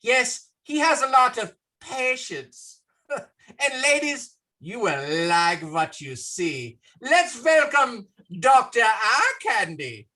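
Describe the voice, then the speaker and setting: A woman's voice: fairly high pitch, slow pace, heavily acted. Woman doing a punning male bar comedian. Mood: hammy. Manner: jokey deadpan delivery with groan-worthy punchlines. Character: male bar emcee telling corny puns. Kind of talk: virtual play reading.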